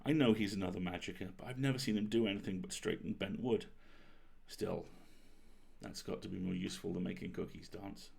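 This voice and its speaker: A man reading as a young girl baker and wizard, chatty and self-deprecating, in an expressive confiding storybook manner. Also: deep voice, fairly quick, gravelly, slightly rough, exaggerated voice. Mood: musing, self-deprecating.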